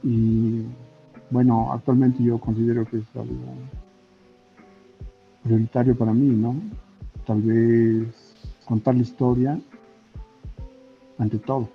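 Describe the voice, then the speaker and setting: Slow, hesitant Bolivian man, muffled audio. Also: mid-range voice, flat delivery. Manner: hesitant, thoughtful, often ending on tag questions. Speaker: guest, comic artist and illustrator. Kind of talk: casual interview conversation. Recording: muffled lo-fi audio with faint music. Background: faint background music.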